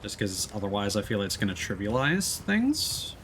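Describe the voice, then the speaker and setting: A man with a lowish voice talks through a video game as he streams it. He explains things casually and thinks aloud. Clear background noise sits behind his voice. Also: very animated, moderate pace, fairly bright tone. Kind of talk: casual let's play commentary.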